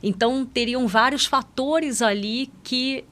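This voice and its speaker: Higher-pitched Brazilian woman explaining conversationally. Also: slow, a bit bright. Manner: explanatory, frequently seeking agreement with tags. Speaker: guest doctor, pediatric endocrinologist. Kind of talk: podcast interview, conversational explanation.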